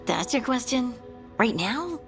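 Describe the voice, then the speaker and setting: A woman's voice: fairly high pitch, sing-song, takes it slowly, heavily acted. A woman playing an earnest, determined young dwarf scout in a video game, speaking plainly. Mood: focused. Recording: music under the voice. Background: game music.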